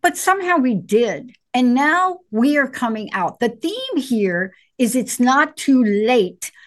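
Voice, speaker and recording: A middle-aged woman, a psychologist, co-hosting a call-in show in a playful, bubbly way with rapid bursts of talk. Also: sits high, sing-song. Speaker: co-host, psychologist. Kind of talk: call-in radio show chat.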